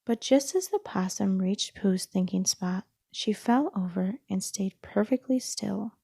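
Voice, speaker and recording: A woman's voice: medium pitch, unhurried, vocal fry, warm tone, husky, dry recording, clean studio sound. A woman narrating a children's storybook as a read-aloud. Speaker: storybook reader.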